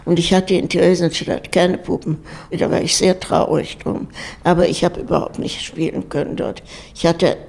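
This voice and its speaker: Gravelly-voiced woman in her eighties. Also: fairly low pitch, flat delivery, normal speed, darkish tone. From Germany. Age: 80s. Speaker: interviewee, home resident. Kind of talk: recounting memories in an interview.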